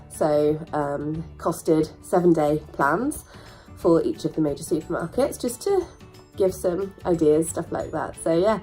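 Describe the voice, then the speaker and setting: Croaky, congested woman vlogging. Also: lowish voice, darkish tone, poor audio. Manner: chatty, rambling, with frequent ums. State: croaky sore throat, congested. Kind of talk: casual chat to camera.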